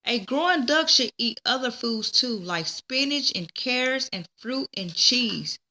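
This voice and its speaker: A woman's voice: on the high side, expressive, unhurried, pushed and bright, slight background noise, voice pushed up. A woman voicing a kindly, instructive man from a children's storybook, explaining things patiently.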